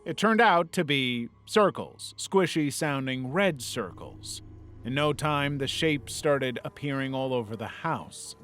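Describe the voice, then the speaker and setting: A man's voice: medium pitch, expressive, unhurried, fairly bright tone. A man reading a scripted narration in the role of a video essay narrator. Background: background music.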